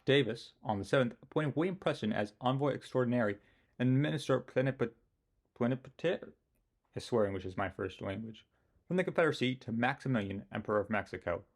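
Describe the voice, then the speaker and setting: A man's voice: mid-range voice, expressive, fast talker, gravelly. Male history narrator, scripted delivery, opinionated, self-mocking asides.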